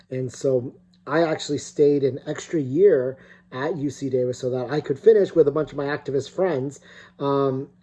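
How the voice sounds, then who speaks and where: A man's voice: mid-range voice, mellow. A middle-aged man from California giving a recorded opening talk as guest speaker, hesitant with many fillers and restarts. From California, United States.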